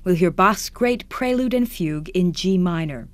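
A woman playing a composed, formal classical radio moderator, polite and deadpan, in a satirical radio comedy. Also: lowish voice, moderate pace, dry recording, close to an everyday voice. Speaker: panel host.

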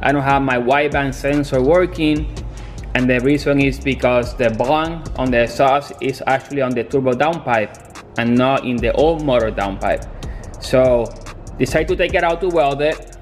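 A young man talking casually in a garage workshop, filming himself on a handheld camera, halting and restarting as he explains his car work. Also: mid-range voice, faint music behind. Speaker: car builder.

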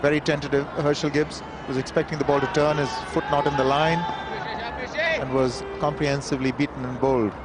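A man's voice: fairly high pitch, a little fast. A male cricket commentator describing play and replays on live TV. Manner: exclaims at wickets, then explains calmly. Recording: loud crowd and noise, outdoor broadcast. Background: loud crowd and ground noise.

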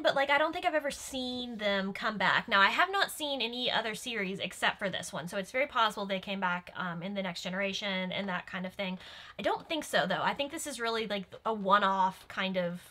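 A woman's voice: fairly high pitch, lively intonation, fairly quick, bright, forceful tone. A young adult woman giving casual commentary as a guest on a reaction show, recorded in a small room. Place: small furnished room indoors.

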